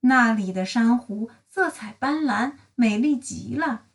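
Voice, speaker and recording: High-pitched, animated woman reading, slightly distant. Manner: expressive, deliberate storytelling. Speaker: storybook reader. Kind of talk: bilingual children's read-aloud narration.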